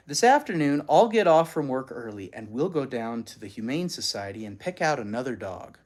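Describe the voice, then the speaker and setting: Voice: mid-range voice, big pitch swings, normal speed, a little mellow. A storybook reader voicing a practical, reasonable father with a firm, matter-of-fact manner. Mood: upbeat, practical.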